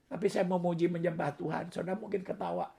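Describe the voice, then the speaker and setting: A middle-aged male pastor preaches in a hoarse, worn-out voice that sits high for a man. His gravelly tone rasps through soft asides and then rises into loud, emphatic declarations. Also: flat delivery, quick pace. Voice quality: hoarse, raspy voice. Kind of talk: sermon.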